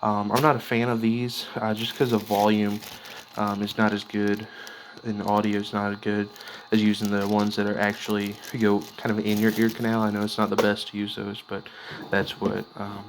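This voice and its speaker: Low, flat-toned man over noisy background. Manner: halting, piecemeal delivery while handling items. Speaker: radio hobbyist showing his gear. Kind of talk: casual, unscripted show-and-tell of equipment. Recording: clearly noisy background with clicks.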